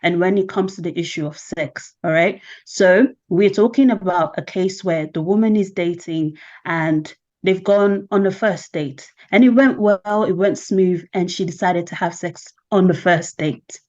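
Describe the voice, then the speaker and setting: A woman's voice: lowish voice, unhurried, few pauses, darkish tone, highs cut off. A woman hosting a casual interview, leading with questions and checking in with "right?" and "okay?". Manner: prompting, asks with "right?" and "okay?". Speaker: host.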